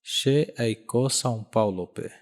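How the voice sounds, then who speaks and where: Slow, repetitive male language teacher. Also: medium pitch. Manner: repeats each phrase slowly several times. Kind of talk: slow spoken language lesson.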